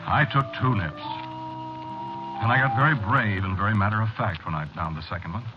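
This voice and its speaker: An American actor playing a haunted, rattled businessman who tells his eerie story haltingly and confidingly in a 1940s radio horror drama, on a muffled, noisy old recording. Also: lowish voice, music in the background, natural voice. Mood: rueful. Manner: halting, repeating himself, confiding. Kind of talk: 1940s radio acting, first-person horror narration.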